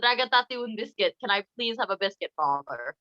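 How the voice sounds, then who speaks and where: A young adult host running a playful, casual home interview with silly sing-song asides. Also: female voice, mid-range voice, lively intonation, brisk, bright, forceful tone, lo-fi sound. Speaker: podcast host.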